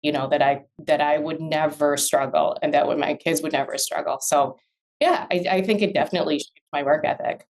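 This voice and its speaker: Female guest, 40s, low register; relaxed, thoughtful, conversational with frequent restarts. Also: fairly quick. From born in Vietnam, raised in the US. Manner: thoughtful, many fillers and restarts. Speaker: guest, real estate attorney. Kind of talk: casual interview conversation.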